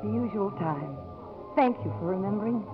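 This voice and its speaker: Quick-witted, composed career woman in midlife, played by an actress, vintage radio drama style, dull lo-fi sound. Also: medium pitch, lively intonation, close to an everyday voice. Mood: composed, fond. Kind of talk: 1950s radio drama acting. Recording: muffled old radio recording, faint music.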